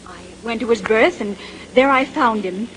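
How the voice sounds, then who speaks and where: An actress playing an anguished, vengeful young stewardess in a 1930s radio drama, speaking in a halting, emotional way. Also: female voice, on the high side, lightly acted, rough recording. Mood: nervous, shaken. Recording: hissy old 1930s radio recording.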